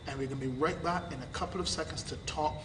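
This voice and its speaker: A man hosting a talk show in a casual, lively way, laughing often and repeating his words. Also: on the high side, quick pace. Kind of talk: casual interview chat. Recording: echoey room, mic at a distance, noisy.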